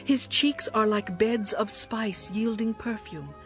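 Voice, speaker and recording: A woman's voice: mid-range voice, takes it slowly, natural voice. Yearning young bride, acted by a woman, music behind. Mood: adoring. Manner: poetic, expressive delivery. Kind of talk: dramatized scripture reading.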